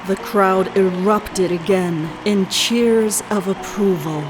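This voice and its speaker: A woman's voice: medium pitch, slow pace, poor audio. A woman reading scripted story narration as the narrator of a fan fiction. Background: music.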